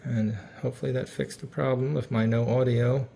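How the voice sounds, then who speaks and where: Male DIY host, mid-pitched with vocal fry, informal down-home phrasing, narrating hands-on repairs over slight background noise. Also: fairly even intonation, brisk, dark tone.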